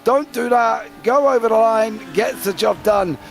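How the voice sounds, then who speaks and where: A man with a British accent calling a live sim racing broadcast, excitable play-by-play that rattles off driver names. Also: very high voice, unhurried, vocal fry, faint music behind. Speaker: race commentator.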